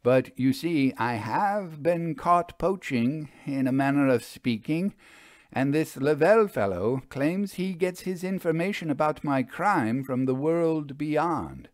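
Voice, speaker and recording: A proud, theatrical male magician from a storybook, acted by a man. His speech is florid and formal, broken by coy hesitations. The pitch moves widely and the sound is dry. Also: lively intonation, slow, dry recording. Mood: theatrical, aggrieved. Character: famous male stage magician, proud, theatrical, evasively apologetic. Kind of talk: dramatized audiobook storytelling.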